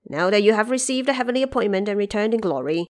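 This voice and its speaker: A woman voicing fawning male horned monster kings, eager and flattering, in an audio drama. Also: medium pitch, fast talker, natural voice. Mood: humble flattery. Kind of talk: audio drama character acting.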